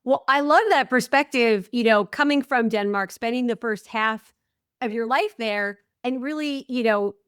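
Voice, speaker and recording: An American woman hosts a podcast interview and answers her guest with affirming enthusiasm. Her voice sits a little high, and the recording is close and dry. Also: normal speed, a bit bright, clear, resonant tone, no room sound. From United States. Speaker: podcast host. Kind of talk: interview host.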